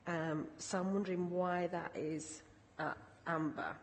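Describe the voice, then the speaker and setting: A woman with a croaky voice, speaking formally and haltingly as a councillor in a committee meeting. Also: lowish voice, steady tone. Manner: hesitant, halting. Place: large indoor council meeting room. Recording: echoey hall with background noise.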